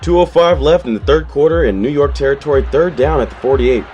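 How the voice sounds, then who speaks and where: An actor playing a male football play-by-play announcer with a brisk, projected broadcast delivery. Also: on the high side, a little mellow, highs cut off, faint music behind, natural voice. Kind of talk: sports commentary.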